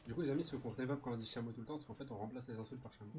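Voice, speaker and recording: A man's voice: mid-range voice, rapid-fire, background noise. A man streaming a game, chatting and joking as he explains things to his viewers. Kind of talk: casual gaming stream chat. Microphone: studio microphone. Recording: music behind voice.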